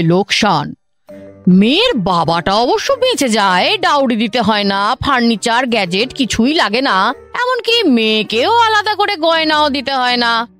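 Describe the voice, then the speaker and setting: A woman's voice: fairly high pitch, a bit bright. An actress playing a talkative, self-pitying woman government officer in a comic radio drama, gushing with complaints and repeating herself.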